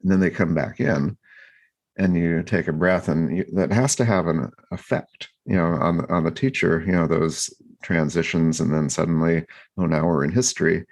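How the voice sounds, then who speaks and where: A man co-hosting a podcast, talking through his thoughts in a reflective, halting way with many restarts and fillers. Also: deep voice. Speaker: podcast co-host. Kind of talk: reflective casual discussion.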